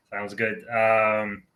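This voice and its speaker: Male interview guest, thirties, Iranian accent, low forceful voice, conversational delivery. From southern Iran. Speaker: interview guest, tech founder. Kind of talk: conversational personal account.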